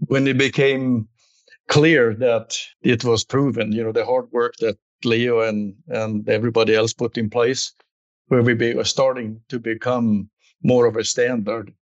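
A man's voice: mid-range voice, dry recording, lo-fi sound. A middle-aged or older man with a Swedish accent, answering conversationally as an executive interview guest.

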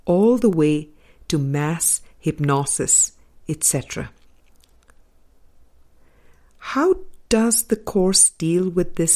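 A woman reading a spiritual audiobook as scripted narration, in deliberate, measured phrases with pauses between them. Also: on the low side, a little mellow. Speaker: audiobook reader.

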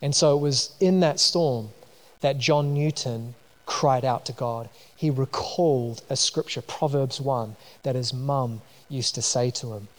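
A man from New Zealand with a New Zealand accent, preaching a sermon in a conversational way, with many fillers and restarts. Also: medium pitch. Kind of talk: sermon with personal story. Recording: long hall reverb.

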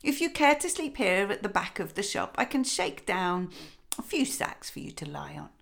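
A woman's voice: medium pitch, sing-song, moderate pace, vocal fry, a bit bright. A woman reading a fairy tale voices a motherly village shopwoman with a coaxing manner. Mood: kindly.

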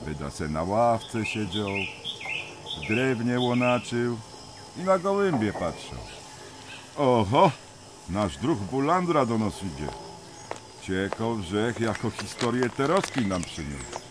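An actor with a Silesian accent playing an older, gruff miner near retirement in a radio drama, grumbling and bossy. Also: male voice, on the low side, sing-song, takes it slowly, noisy background. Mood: gruff banter.

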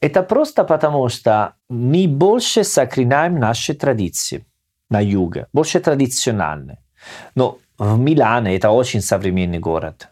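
A man from southern Italy, a native Italian speaker with an Italian accent, talking casually in a learned language with many hesitant pauses. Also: medium pitch, sing-song, a little mellow. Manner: hesitant, halting non-native phrasing. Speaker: guest. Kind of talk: casual conversation.

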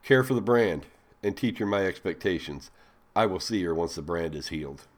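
A man's voice: low voice, lively intonation, moderate pace. A man voicing a cold male slave owner in a spoken story, giving curt, harsh orders. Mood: commanding.

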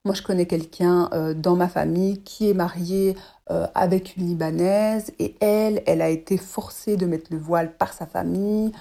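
A woman of about thirty from Belgium, recounting a memory slowly with hesitations. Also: on the low side, fairly even intonation, creaky, mellow. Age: 30s. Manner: slow, hesitant. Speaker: testimony guest. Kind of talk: personal testimony.

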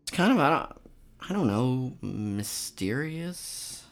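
Slow, spacey, deadpan rock star played by a woman. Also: very deep voice, heavily acted. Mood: sheepish deadpan. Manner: halting, vague, filler-heavy hedging. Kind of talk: naturalistic comedic radio play dialogue.

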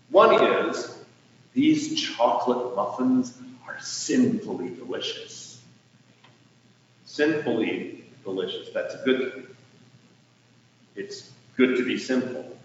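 An American man preaching a sermon as a pastor, speaking deliberately with rhetorical questions and pauses for emphasis. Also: mid-range voice, a bit bright, a little hiss or hum. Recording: echoey hall, distant mic, muffled sound.

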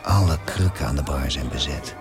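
A man playing a composed, patient hotelier in a radio drama, speaking in a measured, descriptive way. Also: rumbling low, over music. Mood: musing. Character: deep-voiced, composed hotelier, observant and patient. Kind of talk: radio drama monologue and dialogue.

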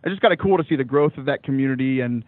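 A young man with a loud voice, talking casually as an interview guest, animated, with many fillers and restarts, laughing often. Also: medium pitch, racing, no room sound. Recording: dry, phone-like call line.

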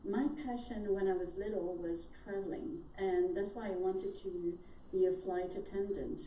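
A Swiss woman in her fifties, an HR professional, introducing herself as a guest in a measured, self-deprecating, slightly halting way. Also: mid-range voice, steady tone, dark tone. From Switzerland. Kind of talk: casual live-show conversation. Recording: echoey room, distant from mic, faint noise.

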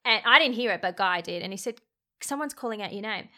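A woman talking casually as a podcast guest, soft and reflective, trailing off with fillers. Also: mid-range voice, animated, quick pace. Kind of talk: casual interview chat.

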